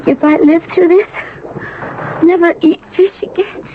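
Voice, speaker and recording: A high, slow-spoken young woman, an emotional royal companion, is heard through a thin and clearly noisy vintage radio recording. She has a fretful, theatrical radio-drama delivery. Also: fairly even intonation, narrow, tinny audio. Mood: anxious. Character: anxious, weary young female companion of a fugitive queen. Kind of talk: 1970s radio drama acting. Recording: band-limited, hissy old radio recording.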